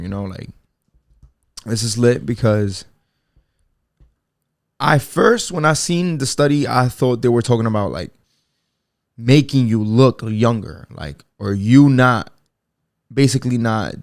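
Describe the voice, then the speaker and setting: A man's voice: lowish voice, gravelly, no echo, clean studio sound. A man in his twenties hosting a casual solo podcast, rambling in laid-back slang with lots of fillers and restarts. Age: 20s. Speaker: podcast host.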